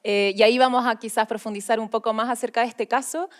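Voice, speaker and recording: A woman's voice: on the high side, fairly even intonation, fast talker. A woman with a Chilean accent presenting live as an event host, informal and playful. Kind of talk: live presentation, casual chat.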